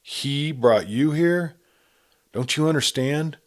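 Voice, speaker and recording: A man's voice: fairly high pitch, slow, pitched above the performer's own voice. Man voicing a stern half-wild young cowboy. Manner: curt and stern. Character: cold, hard, half-wild young frontiersman. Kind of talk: storybook reading.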